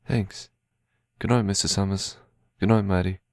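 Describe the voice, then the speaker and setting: A man acts as a timid, innocent runaway boy who is a little slow. The voice is quiet and childlike in manner, yet fairly low and creaky. It is an audio drama part, recorded close and dry. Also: steady tone, no echo. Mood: shy, polite.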